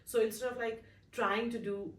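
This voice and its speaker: A woman's voice: fairly high pitch, fairly even intonation, normal speed. A woman in her twenties co-hosting a casual podcast, talking earnestly and giving advice, with many fillers. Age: 20s. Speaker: podcast co-host. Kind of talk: casual chat. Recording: roomy echo, mic at a distance.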